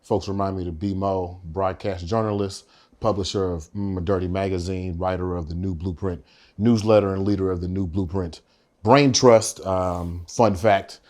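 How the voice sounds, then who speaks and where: A man's voice: low voice, steady tone, normal speed, a little mellow. A man talking as a podcast guest in a playful, easygoing way. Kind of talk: casual podcast conversation.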